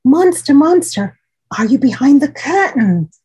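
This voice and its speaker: A woman's voice: high voice, very animated, dark tone, pitched above the performer's own voice. A woman voicing a frightened little girl in a storybook, calling out anxious questions to a monster. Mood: nervous, pleading. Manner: calling out in drawn-out, repeated questions.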